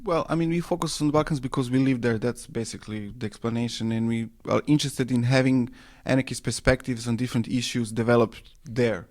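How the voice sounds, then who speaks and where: A man from Serbia, speaking English as a second language, answering radio interview questions with many pauses and fillers. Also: medium pitch, fairly even intonation, darkish tone. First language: Serbo-Croatian. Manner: hesitant, many fillers and restarts. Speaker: interview guest, anarchist newspaper editor.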